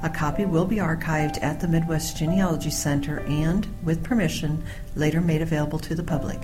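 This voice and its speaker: A woman reads a scripted program introduction in an even, read-aloud way. Her voice is very low for a woman, and clear music plays underneath it. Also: brisk. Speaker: program announcer. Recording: music bed behind voice.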